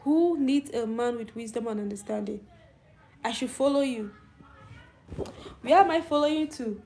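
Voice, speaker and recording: A woman's voice: a little high, moderate pace, darkish tone, a little hiss or hum. A middle-aged woman with a young-sounding voice hosting a casual live stream, chatting and answering viewer comments. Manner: youthful-sounding voice. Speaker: channel host.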